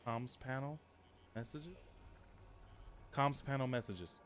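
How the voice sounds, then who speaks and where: Man livestreaming over clear background noise. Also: medium pitch, takes it slowly. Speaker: game livestreamer. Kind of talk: casual livestream chat with short voice commands to a game.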